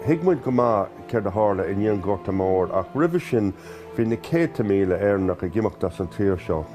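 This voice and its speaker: A man's voice: fairly low pitch, lively intonation, a little fast. A man with an Irish accent explaining history in a thoughtful documentary interview. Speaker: interviewed expert. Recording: interview with loud music underneath.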